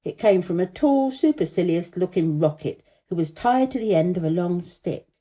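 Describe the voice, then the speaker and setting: A woman reads a children's story with expressive narration. Her tone is very soft and mellow, and her pitch moves in lively sweeps. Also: moderate pace.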